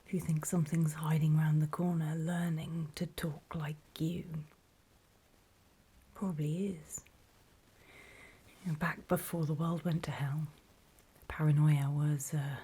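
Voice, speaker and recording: A woman playing a wary, paranoid zombie apocalypse survivor in a horror ASMR roleplay, in a soft breathy whisper with hesitant, confiding delivery. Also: deep voice, steady tone, exaggerated voice. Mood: hushed, wary warning. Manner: slow, hesitant, confiding, with pauses.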